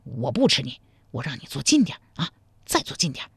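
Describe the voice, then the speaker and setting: A woman's voice: extremely low pitch, big pitch swings, fairly quick, fairly bright tone, slightly rough, dry recording, clean studio sound, voice pushed down, full character voice. A woman voicing a sly, teasing young suitor in a storybook, wheedling and mock-meek. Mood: roguish teasing. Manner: teasing, wheedling, laid-back. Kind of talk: dramatized storybook dialogue.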